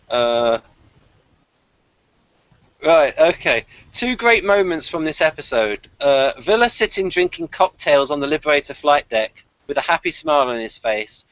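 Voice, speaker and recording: A man's voice: on the high side, fairly bright tone, dry recording. A British man co-hosting a casual review chat, speaking in a hesitant, rambling, dryly wry way and partly reading from notes. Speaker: podcast co-host. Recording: slightly dull, lo-fi voice recording.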